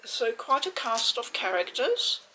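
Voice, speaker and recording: A woman giving a casual, chatty unboxing commentary in a measured, hesitant way, with a creaky voice. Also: fairly high pitch, sing-song, bright, forceful tone, rough recording. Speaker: video game unboxing host. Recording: faint background noise.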